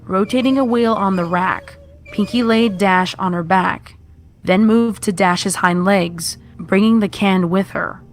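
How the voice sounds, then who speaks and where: A woman's voice: medium pitch, unhurried, soft background music. A woman reading a scripted horror story as its narrator.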